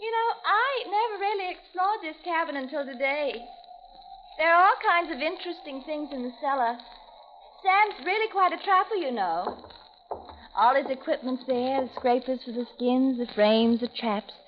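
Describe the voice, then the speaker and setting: A woman's voice: sits high, normal speed, natural voice. An actress playing a cruel, scheming woman who mocks her blinded friend, in 1940s radio acting. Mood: sweetly menacing. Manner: mocking, sugary, cruel. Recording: lo-fi old radio recording.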